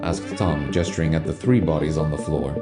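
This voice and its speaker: A man's voice: a bass voice, fairly quick. A man narrating an audio drama in a scripted storytelling style. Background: music.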